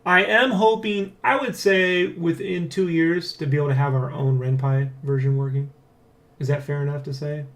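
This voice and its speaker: Male vlog host, slightly high voice, rambling and excitable, talks straight to viewers, loose and sweary. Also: moderate pace. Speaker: host of a development blog. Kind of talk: casual vlog chat, addressing viewers.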